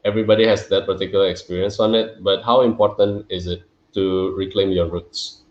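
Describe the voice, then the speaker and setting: A man hosting an interview podcast, asking long questions and pausing often with "uh". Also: sits low, steady tone, echoey room, highs cut off. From Singapore. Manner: hesitant, with many filler sounds. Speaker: podcast host. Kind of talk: interview conversation.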